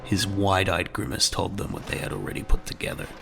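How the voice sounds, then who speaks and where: A man's voice: sits low, brisk, vocal fry, noisy background. A man narrating a scripted audio drama in a dramatic storytelling style. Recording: music bed under the voice.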